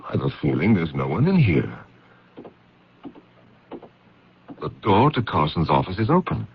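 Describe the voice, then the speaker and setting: An actor playing a sober, earnest investigator in an old-time radio drama, measured and serious. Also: male voice, low voice, sing-song, vocal fry, a little hiss or hum, exaggerated voice. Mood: tense, puzzled. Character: sober male investigator narrating his own case, earnest and considerate. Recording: dull old radio recording.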